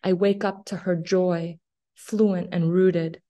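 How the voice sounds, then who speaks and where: Slow, low-voiced woman reading poetry. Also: level tone, warm tone. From Afghanistan. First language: Farsi. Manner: measured, deliberate recitation with long pauses. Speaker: poet. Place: small office room indoors. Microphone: laptop webcam video call.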